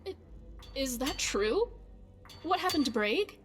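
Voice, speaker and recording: Fantasy game companion , female actor: young, high-pitched, very expressive, wordy, wry, excitable. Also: slow pace, soft background music. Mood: suspicious. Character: excitable, quirky young female adventuring companion, fretful and chatty.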